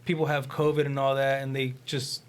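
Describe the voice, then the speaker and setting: A man's voice: medium pitch, level tone, brisk. A young man in casual podcast chat, speaking in slang with some swearing. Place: small indoor room, group around table. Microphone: desk podcast microphone. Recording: slightly noisy small-room podcast recording.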